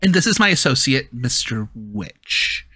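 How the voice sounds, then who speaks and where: A man's voice: mid-range voice, sing-song, pushed and bright, breathy edge, dry recording, clean studio sound, heavily acted. A man voicing a theatrical male carnival owner who drawls out grand showman speeches. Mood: welcoming. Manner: grand, drawn-out showman delivery.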